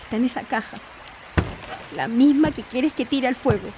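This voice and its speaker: A woman's voice: high-pitched, normal speed, natural voice. A woman playing a hurt, reproachful woman confronting her old love in a radio drama, earnest. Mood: hurt, tender. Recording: faint noise, rain ambience. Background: rain falling.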